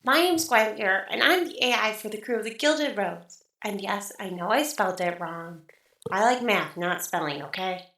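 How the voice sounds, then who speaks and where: Woman as a bright, echoey, chatty ship AI. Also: medium pitch, animated, moderate pace. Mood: playful. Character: chatty, self-deprecating female ship AI. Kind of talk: in-character podcast promo. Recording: roomy, echoey voice recording.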